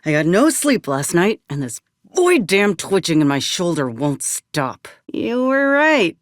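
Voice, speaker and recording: A woman's voice: on the low side, big pitch swings, unhurried, no echo. A woman playing a tough, weathered soldier in a video game, with a folksy, dry, plainspoken manner. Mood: pained, irritable. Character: tough, wry, weathered female soldier companion in space. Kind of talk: video game character dialogue.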